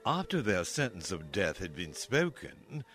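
Slow, low male storybook narrator. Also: sing-song, fairly bright tone, soft background music. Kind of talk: storybook radio play narration.

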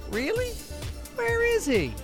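A woman's voice: very high-pitched, wildly swooping pitch, slow, music in the background, full character voice. A woman playing a naive, eager young ugly duckling in an audio drama, chatty and guileless.

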